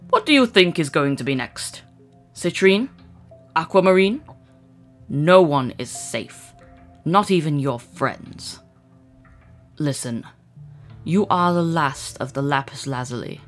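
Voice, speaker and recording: A woman acts out a stern alien female commander for a cartoon. The delivery is deep-set, slow and deliberate, grave and commanding, with music behind it. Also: a bit bright, heavily acted. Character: stern, imposing adult female gem commander, grave and insistent. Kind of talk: dramatic cartoon comic dub.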